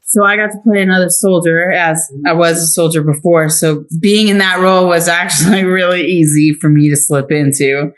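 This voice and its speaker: A woman's voice: lowish voice, fairly even intonation, moderate pace, few pauses. A woman speaking as an interview guest in a casual, chatty way, telling anecdotes conversationally. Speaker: interview guest, actress and writer.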